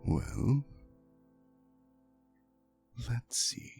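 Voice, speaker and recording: A man playing an ancient vampire boyfriend in an intimate ASMR roleplay, soothing and unhurried, gently teasing and fond as he tells stories. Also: music in the background. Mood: storytelling, amused.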